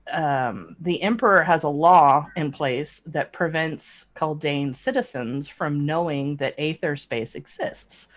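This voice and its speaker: A middle-aged woman, an author being interviewed, talking casually and reflectively, often halting to search for words. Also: low-pitched. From grew up between Athens, Greece and Alaska. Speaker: guest author. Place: home office, indoors, on a video call. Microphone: large desk microphone on a boom arm.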